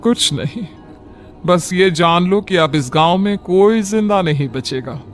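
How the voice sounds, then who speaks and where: A man voicing a vengeful ghost woman who was stoned as a witch, in an animated horror story, with a shrill, wailing, bitter manner. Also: measured pace, faint music behind.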